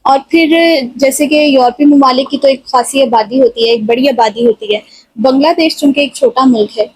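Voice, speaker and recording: A woman's voice: sits high, a little mellow, slightly rough, no echo, rough recording. A woman hosts an interview, putting long, polite, deferential questions to her guest. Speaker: host interviewer.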